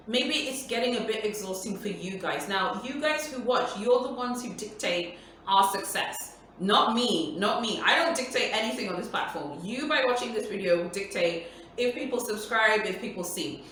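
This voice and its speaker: Bright-voiced woman in an echoey room. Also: medium pitch, expressive, normal speed, a little hiss or hum. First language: Swahili. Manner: chatty, emphatic, repeats points, many "like"s. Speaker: luxury YouTuber host. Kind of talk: casual Q&A talk to viewers. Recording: echoey room, voice far from mic.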